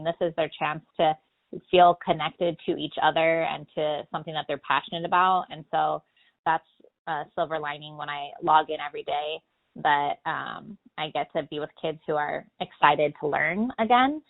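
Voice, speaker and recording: A woman's voice: sits low, fairly even intonation, moderate pace, vocal fry. An American woman, a drama teacher around her forties, giving earnest interview answers over a muffled phone connection. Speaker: middle school drama teacher and director. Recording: muffled, band-limited telephone interview.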